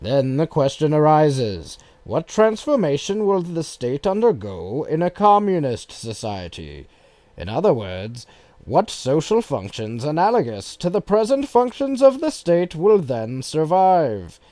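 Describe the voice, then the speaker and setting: A man reading formal written passages for an audiobook in an expressive way. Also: a little high, unhurried, no echo. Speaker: audiobook reader of quoted passages. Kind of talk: scripted narration, reading quotations.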